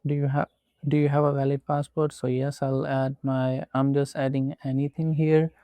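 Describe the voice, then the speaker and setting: A man from Pakistan, not a native English speaker, narrating a screen walkthrough with slow, methodical step-by-step instructions. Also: medium pitch, little pitch movement, dry recording, muffled recording. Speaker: tutorial presenter.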